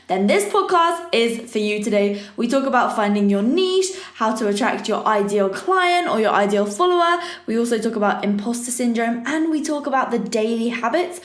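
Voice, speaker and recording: An upbeat woman hosts a podcast, her voice pitched a little high and lively. She talks in an energetic promo style, and the room around her echoes. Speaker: podcast host. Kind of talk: podcast intro and interview.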